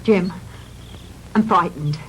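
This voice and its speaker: An actress playing a frightened, bewildered, high-strung young woman in a 1960s low-budget film. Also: slow pace, fairly bright tone. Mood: uneasy, frightened. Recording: noisy old 1960s film soundtrack.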